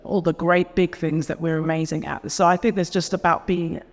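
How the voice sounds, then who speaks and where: A woman's voice: lowish voice, flat delivery, normal speed. A woman panelist talking casually and earnestly, with many "like" and "you know" fillers. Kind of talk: panel discussion answer. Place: conference hall. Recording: long hall reverb.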